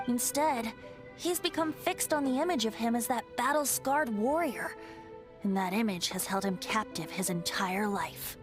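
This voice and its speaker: A woman's voice: fairly high pitch, natural voice. A woman voicing a cheeky young bard. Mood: earnest. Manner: lively, teasing. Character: playful young male bard, carefree and cheeky. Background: game music.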